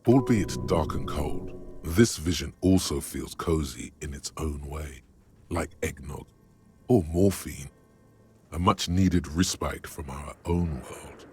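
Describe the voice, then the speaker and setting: A man voicing a solemn, brooding inner-voice narrator in a video game, speaking in measured, literary scripted narration. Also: a little mellow, faint music behind. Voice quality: deep, gravelly.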